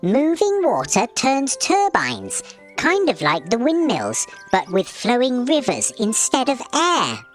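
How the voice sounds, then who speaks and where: Storybook magical bug, male, little and cheerful: high-pitched, wildly swooping delivery, chirpy explainer, music underneath. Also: huge pitch swings, slow pace, full character voice. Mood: chirpy, excited. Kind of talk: children's storybook character voice.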